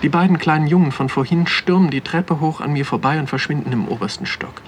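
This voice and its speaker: A man's voice: on the high side, fast, a little hiss or hum, natural voice. An actor playing a pensive young man in a radio drama, delivering a musing, observant interior monologue. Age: young adult.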